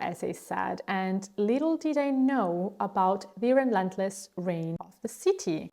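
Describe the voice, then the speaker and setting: A young woman from Italy speaks in English with an Italian accent, chatty and gushing as she recommends books. Her voice sits at an everyday middle pitch for a woman. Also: darkish tone. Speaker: book vlogger. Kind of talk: casual book recommendation vlog.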